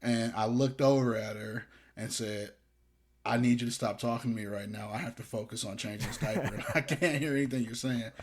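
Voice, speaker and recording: A man's voice: medium pitch, a little fast, noisy background. A young man co-hosting a podcast, talking casually in a rambling, hesitant way with self-deprecating jokes. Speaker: podcast co-host. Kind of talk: casual chat.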